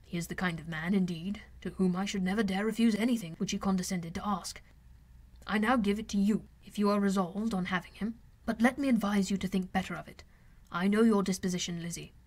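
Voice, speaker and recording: A wry older gentleman father from a classic novel audiobook, played by a woman. The delivery is measured, dry and formal, with a fond, advising temper. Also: mid-range voice, steady tone, natural voice. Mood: grave, tender. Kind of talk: audiobook character voice.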